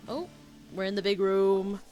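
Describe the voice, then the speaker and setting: A woman's voice: mid-range voice, unhurried, darkish tone, lo-fi sound, soft background music. A woman gaming streamer giving casual reaction commentary as she plays. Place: game audio and music behind the voice.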